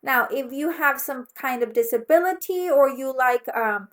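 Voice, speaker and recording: A woman's voice: sits high, lively intonation, moderate pace. A woman from Mexico, a native Spanish speaker with a Mexican accent, giving an instructive online course orientation as a college teacher and often checking in as she goes. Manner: instructive, frequently checks understanding with "okay?".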